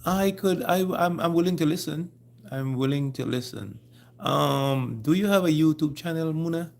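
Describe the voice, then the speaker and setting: A man with a Jamaican accent hosting a casual live stream, speaking haltingly and repeating his phrases. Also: fairly high pitch, creaky, a little mellow. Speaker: livestream host.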